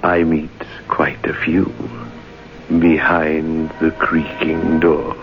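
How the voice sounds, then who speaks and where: An actor playing a macabre, knowing host who narrates an old-time radio murder mystery slowly and ominously. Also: male voice, rumbling low, flat delivery, over loud music, heavily acted. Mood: ominous, wry. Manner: slow, deliberate, ominous. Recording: muffled, noisy old radio recording.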